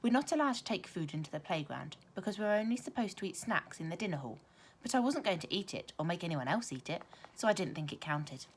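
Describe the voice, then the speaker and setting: A woman with a fairly low voice reads a children's story aloud. She is expressive and teacherly, placing careful emphasis on words and pausing for drama. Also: a little hiss or hum. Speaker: primary school teacher reading aloud.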